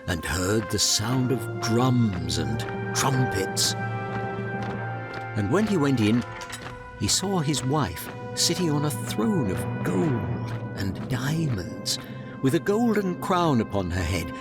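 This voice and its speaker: A man narrating a fairy tale in a measured, dramatic storybook style. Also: medium pitch, sing-song, over loud music.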